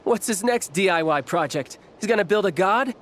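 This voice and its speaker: An actor playing an excitable, cheeky young warrior in a video game, with an expressive, boyish delivery. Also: male voice, high voice, moderate pace. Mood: incredulous. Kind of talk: English video game voice acting. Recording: game music behind the voice.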